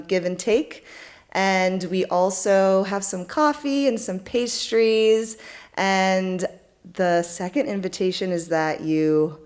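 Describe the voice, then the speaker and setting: Woman host wrapping up a community event, kinda low voice, gushy and thankful, lots of ums. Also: a little mellow. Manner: effusive, grateful, many fillers. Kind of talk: closing remarks at a community event. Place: indoor event space with audience.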